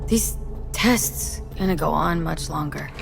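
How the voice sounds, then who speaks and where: An actress playing a defiant, exhausted young mercenary in a game, with a blunt, streetwise, clipped delivery. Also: female voice, low-pitched, slow pace, creaky, heavily acted. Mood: weary.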